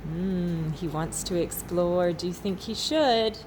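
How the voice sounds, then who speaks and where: A woman teacher reading a children's storybook aloud expressively, with dramatic pauses. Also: on the low side, background noise. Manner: slow, dramatic pauses, asks listeners questions.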